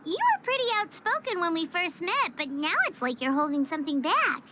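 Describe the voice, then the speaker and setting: Game companion: childlike little girl, earnest, extremely high pitch, lively swoops. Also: a little fast, heavy background noise. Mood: curious, earnest. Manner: speaks of herself in third person. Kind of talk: anime game voice acting. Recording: loud music behind the voice. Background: loud game music.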